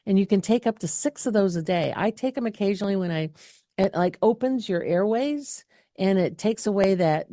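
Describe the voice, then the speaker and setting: A woman's voice: on the low side, gravelly, dark tone, dry recording, studio quality. A middle-aged woman hosting a casual live question-and-answer session, talking in a chatty, rambling way with many fillers and restarts. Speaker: health clinic host answering viewer questions.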